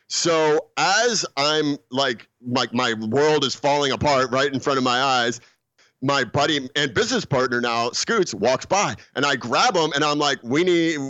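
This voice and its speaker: A man's voice: mid-range voice, a bit bright, no room sound, low-quality recording. A man in his forties co-hosting a podcast, telling a story casually with many restarts and laughing at himself. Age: 40s. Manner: rambling, restarts his sentences, laughs at his own stories. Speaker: podcast co-host.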